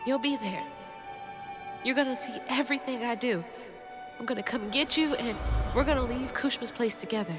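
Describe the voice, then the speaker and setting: An actress playing an earnest, determined young weaver in a video game. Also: female voice, fairly high pitch, moderate pace, long pauses, lightly acted. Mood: tender resolve. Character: determined, earnest young female weaver searching for her mother. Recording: game music behind the voice.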